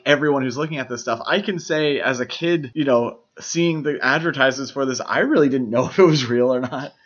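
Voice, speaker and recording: A man co-hosting a podcast, talking through films in a casual, explanatory way with frequent filler phrases. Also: mid-range voice. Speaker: podcast co-host. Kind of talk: casual film discussion. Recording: dull, lo-fi podcast audio.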